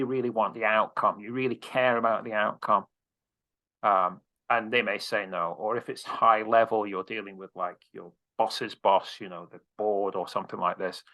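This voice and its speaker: Bright-voiced, blunt male presentation coach. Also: fairly low pitch, steady tone, moderate pace. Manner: direct, casual, swears freely. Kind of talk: interview answers, advice.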